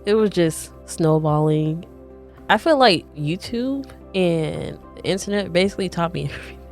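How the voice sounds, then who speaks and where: A young woman telling her own story as a podcast guest, chatty and lively, quoting herself often. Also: fairly low pitch, faint music behind. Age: young adult. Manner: chatty, quick bursts, frequent "like" and quoted self-talk. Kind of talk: casual personal storytelling.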